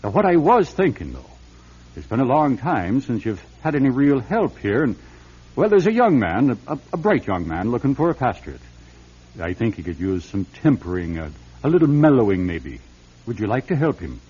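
Older bishop, lively, muffled radio sound. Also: male voice, medium pitch, normal speed, husky. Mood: tactful persuasion. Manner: conversational, gently persistent, hesitant. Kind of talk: old-time radio drama acting. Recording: dull, muffled old radio recording.

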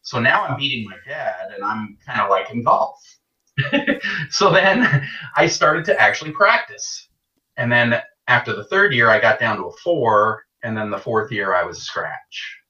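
A man raised in Canada, a golf coach, rambles through stories and laughs freely. His voice has a bright, forceful edge but sits back from the mic, echoing around a room. The sound is slightly dull. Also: medium pitch, measured pace. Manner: laughing often, rambling storytelling. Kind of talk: casual interview chat. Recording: distant, echoey, dull sound.

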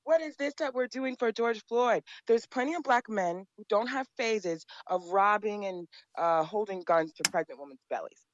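A woman's voice: medium pitch, moderate pace, few pauses, no room sound, lo-fi sound. A woman speaking as an interview guest, blunt and emphatic, repeating her points. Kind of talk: opinionated interview talk.

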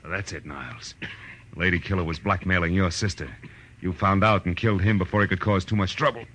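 An actor playing a tough, wry private detective in clipped slang, in the style of mid-century radio drama. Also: male voice, deep voice, fast talker, fairly bright tone. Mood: sardonic, confronting. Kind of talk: 1940s-50s radio drama acting and first-person narration. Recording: noisy vintage radio recording.

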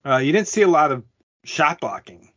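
A man's voice: on the high side, expressive, moderate pace, a bit bright, husky. Guy hosting a hockey podcast, upbeat and welcoming, kinda dull lo-fi audio. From Canada. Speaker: podcast host. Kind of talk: casual hockey podcast chat.

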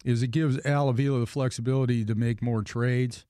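A man's voice: medium pitch, steady tone, a little fast, warm tone, smooth, dry recording. Rambling man hosting sports radio, dry studio sound. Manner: rambling, self-interrupting, emphatic. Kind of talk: casual sports talk radio.

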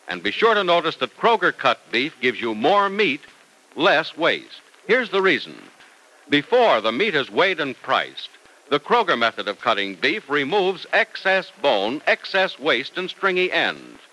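A man announces in the scripted style of classic radio. His voice is bright and somewhat high, with very lively intonation, like a period pitchman. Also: low-quality recording.

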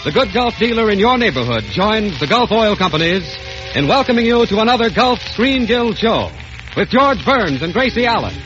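A man's voice: sits high, big pitch swings, moderate pace. An American man announcing in scripted 1930s radio sponsor style. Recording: muffled old radio broadcast with music.

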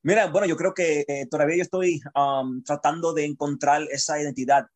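A man's voice: fairly high pitch, steady tone, a little fast. A man from Chicago, a poet guest in an interview, speaking reflectively and searching for his words. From Chicago, United States. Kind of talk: conversational interview.

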